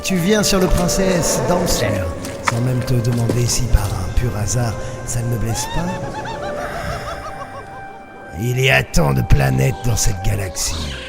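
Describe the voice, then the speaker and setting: A man plays a sly alien crime boss who runs his own space liner, mocking and theatrical. His pitch swings widely over a creaky, gravelly edge. Music plays behind him, in the manner of a science fiction audio drama. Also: sing-song. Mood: mocking, menacing. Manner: mocking, theatrical, with drawn-out swooping phrases.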